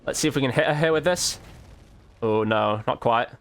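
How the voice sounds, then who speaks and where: A man's voice: mid-range voice, moderate pace. A man giving casual gameplay commentary, informally thinking aloud as he plays. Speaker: gaming video creator.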